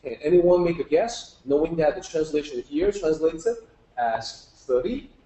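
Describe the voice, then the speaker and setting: A man's voice: fairly high pitch, lively intonation, moderate pace, mellow. A man teaching informally to a group, explaining patiently step by step and putting questions to his listeners. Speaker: teacher giving a public talk. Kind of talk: informal lecture explaining Chinese characters. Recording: roomy, echoing live talk.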